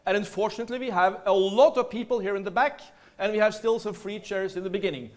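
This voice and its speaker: A Norwegian man with a Norwegian accent giving a lively, playful business talk to a seminar audience in a large hall. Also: sits high, quick pace. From Norway. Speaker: seminar speaker.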